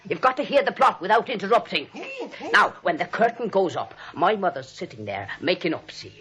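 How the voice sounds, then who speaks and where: An actor playing an eager, oblivious, chatty policeman with rough grammar in a 1940s radio comedy. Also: high-pitched, a little fast, full character voice. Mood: eager storytelling. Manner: chatty, excitable, ungrammatical storytelling. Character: eager, oblivious Brooklyn policeman and would-be playwright. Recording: muffled old 1940s radio recording.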